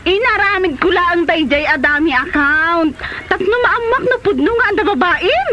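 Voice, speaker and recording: Casting: actress as feisty wronged wife, extremely high animated voice, Filipino accent, comedy radio drama. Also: female voice, moderate pace. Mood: angry, accusing. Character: fed-up wife of a womanizer, feisty and scornful. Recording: dull, noisy audio.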